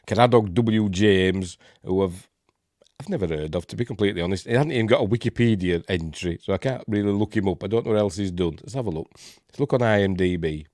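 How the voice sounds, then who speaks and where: A man's voice: deep voice, fast talker, darkish tone, dry recording, studio quality. A man with a Yorkshire accent hosting a rambling live-stream film review, congested and snuffly from a cold. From Yorkshire, England. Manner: rambling, hesitant, many fillers. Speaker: host.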